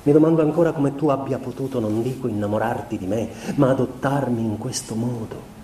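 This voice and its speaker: A man acting a tormented, gentle young husband confessing in a letter, with measured, solemn, introspective delivery in a literary radio drama monologue. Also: mid-range voice, natural voice. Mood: tender, regretful. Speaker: radio theatre actor. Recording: roomy, distant mic, noisy ambience.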